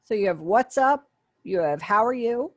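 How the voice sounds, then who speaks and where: A woman's voice: medium pitch, lively intonation, moderate pace, dry recording. A woman giving an online sign language lesson over a webinar call, patiently explaining and acting out example phrases. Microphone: webinar video call.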